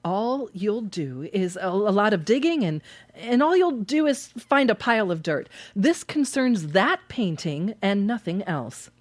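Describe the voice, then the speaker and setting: A woman's voice: mid-range voice, sing-song, unhurried, no room sound. A woman playing a fed-up, sardonic wife in a live radio play, speaking in an emphatic, theatrical way. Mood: dry, insistent. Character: fed-up, sardonic wife nagging about a hated painting.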